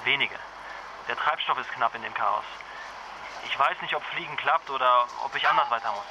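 An actor plays an anxious, protective husband in a radio drama. He is a medium-pitched man who talks earnestly and patiently, soothing but now and then stumbling over words. Noticeable vehicle and ambient noise sits around the voice. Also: moderate pace, lo-fi sound, natural voice. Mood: concerned, practical. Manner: earnest, soothing, sometimes stammering. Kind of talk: naturalistic radio drama acting in phone calls. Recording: noisy ambience with vehicle sounds.

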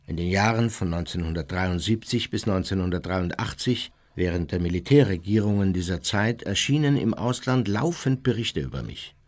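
Self-righteous older war criminal, low voice, formal measured delivery, radio play memoir. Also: male voice, animated. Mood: self-righteous, defensive. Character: self-justifying older male Nazi war criminal, reciting his memoir.

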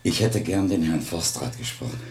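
An actor narrating a radio play as a reflective art dealer from Berlin, measured and thoughtful. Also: male voice, low voice, darkish tone, slightly rough.

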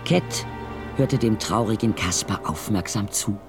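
A man's voice: lowish voice, a little slow. A man narrating a German audio drama in a dramatic storytelling style. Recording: music behind.

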